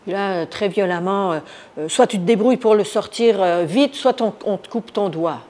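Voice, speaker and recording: A woman from Iran giving a spontaneous first-person account in an interview, often pausing to search for words. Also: medium pitch, a little mellow. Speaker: interviewee, activist recounting her detention. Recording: noticeable background noise.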